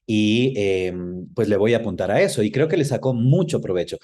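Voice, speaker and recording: Guy being interviewed, really mellow voice, thinks out loud and hesitates while he talks. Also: fairly low pitch, lively intonation, normal speed. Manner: hesitant, searching for words. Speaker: actor, interview guest. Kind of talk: reflective conversational interview answers.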